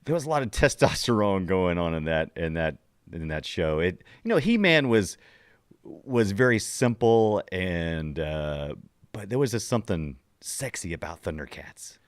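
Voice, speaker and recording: A man's voice: low-pitched, sing-song, unhurried, no echo, clean studio sound. A middle-aged man hosting a podcast in a casual, jokey, rambling chat. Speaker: podcast host.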